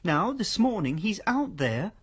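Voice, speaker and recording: A husky, melodramatic young son. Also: male voice, high voice, big pitch swings, measured pace, fairly bright tone, heavily acted. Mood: urgent, suspicious. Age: young adult. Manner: melodramatic, insistent. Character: over-dramatic, defiant young son, aspiring actor. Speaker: actor in a radio drama. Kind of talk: radio drama acting.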